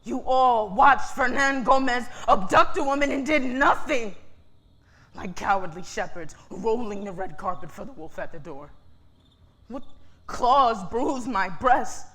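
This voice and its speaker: A woman's voice: fairly high pitch, lively intonation, a little slow, fairly bright tone. A woman playing a battered, defiant young woman in a staged reading, shaming her townsfolk in impassioned, declamatory speech. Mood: anguished fury.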